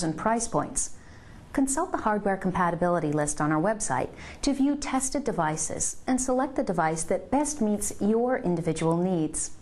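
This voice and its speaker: A woman reading scripted instructional narration in a measured, step-by-step way, as a presenter of a product tutorial. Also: medium pitch, darkish tone.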